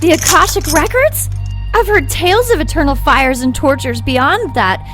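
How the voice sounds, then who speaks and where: An actress playing a fearful, submissive servant of a demon in an audio drama, with a meek, pleading manner. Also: female voice, high voice, normal speed, fairly bright tone, faint music behind. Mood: frightened.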